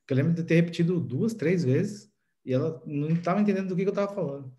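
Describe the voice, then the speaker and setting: A man's voice: a little high, fast talker, dark tone. A man in casual conversation who tells a personal story and laughs easily. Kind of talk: casual anecdote.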